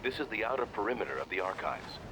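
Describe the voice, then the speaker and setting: An actor playing a calm intelligence operative who gives matter-of-fact mission instructions in a video game. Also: male voice, lowish voice, quick pace, background noise. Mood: urgent briefing.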